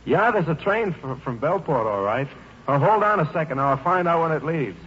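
A man's voice: on the high side, animated, normal speed, a bit bright. An actor playing a polite, eager young American soldier in an old-time radio drama, hesitant and earnest as he stammers over his words. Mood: anxious, tender. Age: young adult. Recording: muffled, noisy old radio recording.

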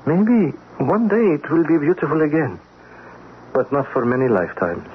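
An actor playing a weary middle-aged refugee painter and former political prisoner in a radio drama, speaking in earnest, poetic, pleading phrases. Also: male voice, a little high, huge pitch swings. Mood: sorrowful, weary. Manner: slow, poetic, pleading, formal foreign phrasing. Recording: tinny band-limited radio, clear noise.

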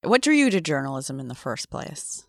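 A woman host with a low voice, talking in a chatty, upbeat way with quick asides. She sounds close and dry, with no room around her. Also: very animated, gravelly, no echo. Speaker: podcast host. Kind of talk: casual interview chat.

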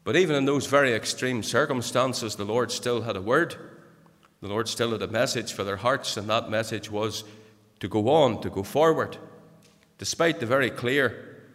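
A man's voice: mid-range voice, moderate pace, fairly bright tone. An older man with a Northern Irish accent preaching an earnest sermon in a church, rising to emphatic exhortation. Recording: church hall reverb.

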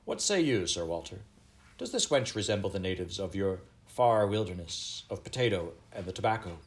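A man's voice: sits low, sing-song, normal speed. A man voicing a haughty, vain middle-aged Elizabethan queen with grand, archaic, commanding speech in a storybook reading. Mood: indignant, imperious.

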